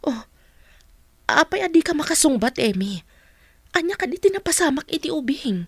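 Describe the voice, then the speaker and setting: A woman's voice: sits high, big pitch swings, moderate pace, dry recording, studio quality. A woman with an Ilocano accent playing an outspoken, protective family friend in a radio drama, earnest and emphatic. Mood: insistent. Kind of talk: Filipino radio drama acting.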